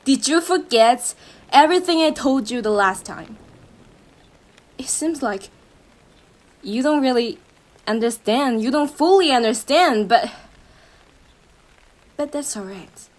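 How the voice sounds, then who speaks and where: A woman's voice: high-pitched, lively intonation. A woman playing a young, obsessive yandere girlfriend in a roleplay monologue, syrupy and menacing, speaking intimately to her partner with a jealous intensity. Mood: jealous, agitated. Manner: slow, sugary endearments swinging into intense jealous outbursts. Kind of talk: dramatic roleplay monologue addressed to the listener.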